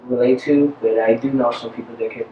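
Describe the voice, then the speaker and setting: Halting male actor, noisy roomy sound. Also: mid-range voice, steady tone, recorded from a distance, muffled recording. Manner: halting, many fillers. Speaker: actor in the film.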